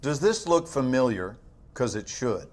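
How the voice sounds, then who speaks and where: An actor playing a calm male government interrogator who questions in a measured, dry, slightly sarcastic way. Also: mid-range voice. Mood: cool questioning. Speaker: interrogating agent.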